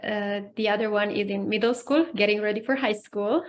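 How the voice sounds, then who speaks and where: A woman's voice: mid-range voice, steady tone, a little fast. A woman from Romania in her forties, a guest answering interview questions in a friendly, chatty way. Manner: friendly, chatty, laughs easily. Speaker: guest, personal development coach. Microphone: video call.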